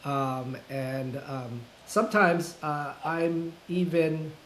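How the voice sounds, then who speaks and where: A man in his fifties with a voice pitched a little above most men's, talking in a relaxed interview. He speaks thoughtfully, often pausing on fillers and restarting sentences, with the careful manner of a self-described introvert. A faint hiss sits under his voice. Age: 50s. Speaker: guest, full-time content creator. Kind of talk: casual interview conversation.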